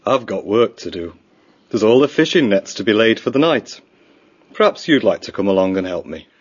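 Husky male voice, man acting a friendly mouse brother, eager fisherman, storybook drama, dull audio. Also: lowish voice, big pitch swings, moderate pace.

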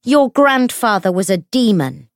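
A woman voicing a menacing, raging noblewoman in an audiobook, with a hoarse, hissing, theatrically accusing delivery. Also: mid-range voice, sing-song, measured pace, no room sound, studio quality, pitched above the performer's own voice. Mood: shouting contempt.

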